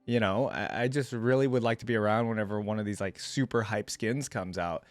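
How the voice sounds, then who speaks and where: A man's voice: on the low side, a little fast, no echo. A man giving casual, slangy, enthusiastic reaction commentary as a video host. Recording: music playing behind the voice. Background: game theme music.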